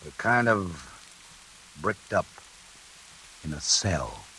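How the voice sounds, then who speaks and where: An actor playing a sinister night elevator operator in a classic radio suspense drama, laconic and coolly insinuating. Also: male voice, sits low, very animated, slow pace, vocal fry, bright, forceful tone, full character voice. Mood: coolly ominous. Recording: hissy, noisy old radio recording.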